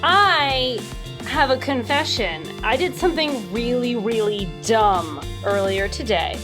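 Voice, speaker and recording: Higher-voiced woman, playfully dramatic storyteller. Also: slow pace, music in the background. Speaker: panel professor posing quiz question. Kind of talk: comic anecdote, casual chat.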